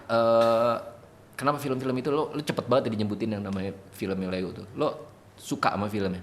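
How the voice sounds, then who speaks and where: A man's voice: medium pitch, fairly even intonation, a little fast, slight background noise. A man hosting a casual interview with a quiz game, playful and laughing often. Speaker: host.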